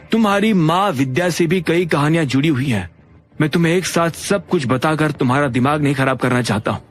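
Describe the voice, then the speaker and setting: A man voicing a grave, earnest uncle in an audio drama, explaining in a deliberate way. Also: fairly high pitch, close to an everyday voice. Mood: calming, earnest. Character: older uncle, measured, grave family patriarch confiding secrets.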